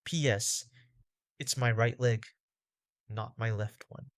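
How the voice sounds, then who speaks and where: A man's voice: medium pitch, steady tone, slow pace, no echo, studio quality, natural voice. A man playing an earnest boy from an old comic strip, speaking in simple phrases. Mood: plain, resigned. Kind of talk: acted comic-strip dialogue.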